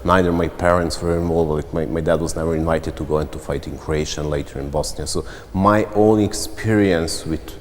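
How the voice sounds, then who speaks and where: A man from central Serbia, an artist answering interview questions in a reflective, halting way with frequent restarts. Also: a bass voice, fairly even intonation. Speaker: visual artist. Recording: long reverb, faint background noise.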